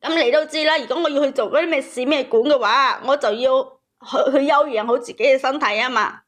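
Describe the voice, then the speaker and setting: High-voiced woman caller telling her story. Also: fairly quick, fairly bright tone. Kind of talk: emotional personal storytelling to a host.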